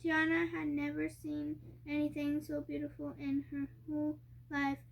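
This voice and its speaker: A young girl reading a storybook aloud haltingly, sounding out and stumbling over harder words. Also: high voice, monotone, gravelly, a little hiss or hum, poor audio. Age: child. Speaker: young reader co-hosting a family reading video.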